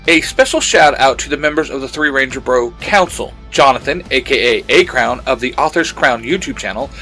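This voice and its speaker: A man's voice: a little high, pushed and bright, rough recording. A man hosting his own podcast, reading out credits and thanks. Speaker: podcast creator and host.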